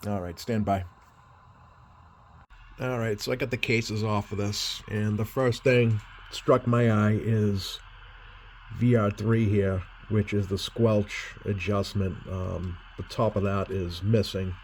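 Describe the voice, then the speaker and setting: Low-voiced man thinking aloud, noisy background. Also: steady tone, takes it slowly, darkish tone. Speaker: CB radio repair technician demonstrating. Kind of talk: casual hands-on repair walkthrough. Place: indoor workbench. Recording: clear background noise.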